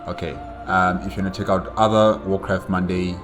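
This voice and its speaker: A man's voice: low-pitched, level tone, fairly quick. A man hosting a casual solo reaction, explaining things in a hesitant, conversational way, with faint music behind. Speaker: reaction host.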